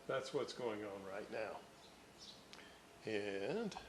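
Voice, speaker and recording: An older man giving casual, halting, folksy narration as a hobbyist at his workbench. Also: medium pitch, vocal fry, slight background noise. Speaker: radio restoration hobbyist and host.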